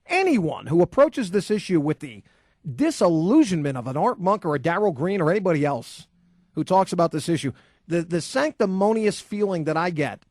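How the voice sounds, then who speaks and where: A man's voice: sits high, darkish tone, dry recording. A man hosting a sports call-in radio show, ranting combatively and sarcastically into a studio microphone. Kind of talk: heated opinion monologue and call-in.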